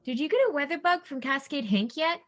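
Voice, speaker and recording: A woman playing a spirited, teasing, bossy backwoods wife in an audio drama, brisk and commanding. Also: high voice, lively intonation, fairly bright tone. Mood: teasing, probing. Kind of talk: amateur audio drama acting.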